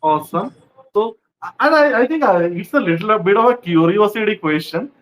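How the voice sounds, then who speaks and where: A man's voice: sits high, animated, fairly quick, a bit bright, poor audio. A man from West Bengal, India, hosting a casual interview and explaining things at length, often saying "like" as a filler. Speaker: interviewer, host.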